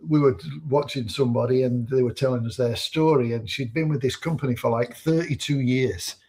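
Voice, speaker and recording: A man in his fifties co-hosting a casual livestream. His voice is husky and sits at a middle pitch. He tells stories in an easygoing, rambling way. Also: brisk, a little mellow. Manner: easygoing storyteller, rambling with fillers. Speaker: livestream co-host.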